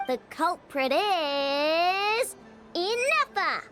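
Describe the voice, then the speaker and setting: A woman voicing a small, excitable, cute and easily indignant girl companion in a video game, with a squeaky, dramatic delivery. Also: drawn-out words, full character voice. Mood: indignant, accusing. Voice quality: squeaky, childlike. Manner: exclamatory, dramatic. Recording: game music behind the voice.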